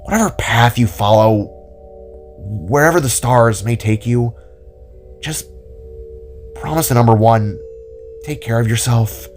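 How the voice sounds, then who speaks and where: A man's voice: mid-range voice, a little slow, long pauses, lightly acted. A man playing a protective, worried older male cousin in an audio drama, speaking in a hesitant, tender, weary way. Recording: music under the voice.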